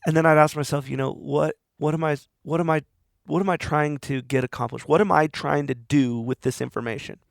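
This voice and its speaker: A man's voice: fairly high pitch, steady tone, a little fast, creaky, no room sound, clean studio sound. A man hosting a podcast, talking alone in a rambling, earnest way while he is losing his voice. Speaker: podcast host. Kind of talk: reflective solo monologue.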